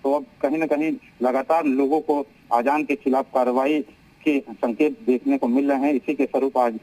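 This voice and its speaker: Male field correspondent, mid-pitched voice, reporting live and unscripted with hesitant repetitions. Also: fairly even intonation, normal speed, mellow, poor audio. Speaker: news correspondent.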